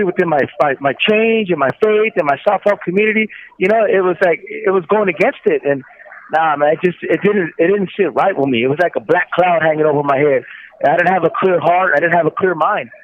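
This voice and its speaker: A man's voice: sits high, slight background noise. A man calling in over a phone, talking casually and earnestly, with emphatic repeated phrases. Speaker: guest calling from prison. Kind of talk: casual, testimonial talk. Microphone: telephone handset. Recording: tinny prison phone line.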